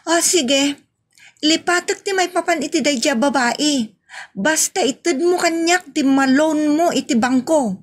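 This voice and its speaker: Lady doing a naggy suspicious filipino wife in a radio comedy, kinda high voice, scolding and pushy. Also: unhurried. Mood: insistent. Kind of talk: Ilocano audio comedy drama acting.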